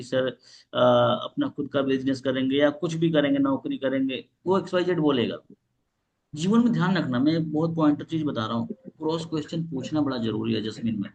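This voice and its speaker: A man leading a live online sales training, explaining how to call old leads and acting out both sides of the call with repeated questions. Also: fairly high pitch. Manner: explains with role-play examples and repeated questions. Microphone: video call.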